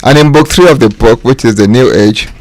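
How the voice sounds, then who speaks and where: A man's voice: mid-range voice, brisk, no room sound, rough recording. A male author talking about his book on the radio, hesitant with fillers. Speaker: author and guest. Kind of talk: casual radio talk.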